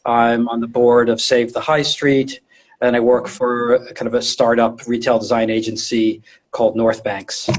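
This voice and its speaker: A middle-aged man with a medium-pitched voice talks as a guest expert in an interview. He explains informally and conversationally. He often repeats a word or two while he works out the phrase. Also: little pitch movement, moderate pace. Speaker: guest, retail design and visual merchandising specialist.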